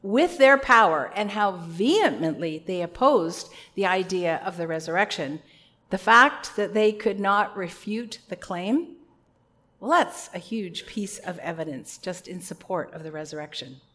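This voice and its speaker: Loud-voiced woman preaching a sermon. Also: fairly low pitch, lively intonation, measured pace. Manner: earnest, conversational preaching with asides.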